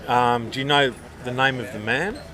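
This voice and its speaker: A man's voice: medium pitch, normal speed, bright, forceful tone. A man interviewing outdoors, asking short prompting questions and nudging the talk along. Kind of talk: casual recorded conversation.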